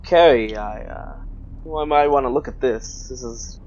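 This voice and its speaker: A man's voice: mid-range voice, moderate pace, darkish tone, lightly acted. A man playing an observant, helpful investigator's companion in a tabletop role-playing game, tentative and understated. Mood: uneasy, puzzled. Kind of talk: improvised tabletop role-play. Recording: dull, slightly noisy microphone.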